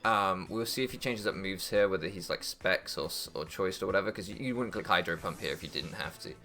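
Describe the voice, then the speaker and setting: Bright-voiced young man commentating a game. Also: low voice, a little fast, soft background music. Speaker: gaming YouTuber. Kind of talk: casual strategy game commentary, thinking aloud.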